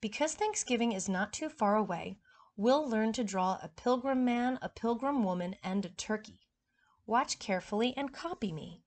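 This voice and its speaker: A woman voicing a patient, kindly art teacher from a storybook, explaining things in a measured way. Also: a little high, lively intonation, lightly acted. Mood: instructing.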